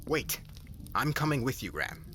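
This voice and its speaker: Man playing grave professor, hushed, formal, concerned, fantasy roleplay, clear background noise. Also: mid-range voice, sing-song, normal speed, lightly acted. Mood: determined. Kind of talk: ASMR roleplay acting. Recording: clearly noisy ambient background.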